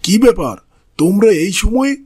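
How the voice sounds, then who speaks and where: A man's voice: high-pitched, lively intonation, moderate pace, darkish tone, breathy edge. A man voicing an arrogant, stingy and irritable king in a fairy-tale cartoon, haughty and commanding. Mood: irritated, refusing.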